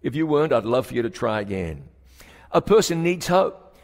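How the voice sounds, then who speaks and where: A man preaching a sermon as pastor, stretching key words for emphasis and dropping to a hushed tone at solemn moments. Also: medium pitch, sing-song, moderate pace, vocal fry. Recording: long hall reverb.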